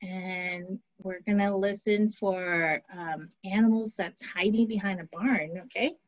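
A woman on a headset video call, speaking slowly and gently to young children as their speech teacher, in a coaxing sing-song way. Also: mid-range voice, fairly even intonation. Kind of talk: slow, simple talk to young children. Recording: muffled video-call audio.

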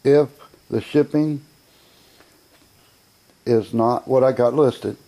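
A man with an American accent and a relaxed, mellow tone at a middling pitch. He talks informally, like a seller showing off his goods on a home video, with a blunt, self-assured and folksy way of putting things. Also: takes it slowly. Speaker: auction seller presenting an item. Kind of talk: informal sales video narration. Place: indoor room, narrating from behind a handheld camera.

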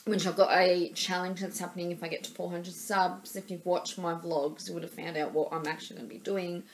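A woman's voice: lowish voice, low-quality recording. A woman in her thirties with an Australian accent, chatting casually to her webcam in a small room, rambling with lots of fillers and repeats, her nose stuffy and her throat sore. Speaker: vlogger. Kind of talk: casual vlog chat. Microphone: webcam at desk level.

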